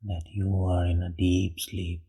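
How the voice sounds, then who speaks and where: A man leading a guided meditation in a hushed, breathy voice, with short phrases and long pauses. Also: low voice, monotone.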